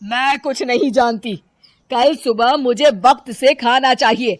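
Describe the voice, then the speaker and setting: A woman's voice: on the high side, measured pace, dry recording, close to an everyday voice. A woman voicing a stern, sarcastic, scolding mother-in-law in a cartoon family story, dry and unimpressed.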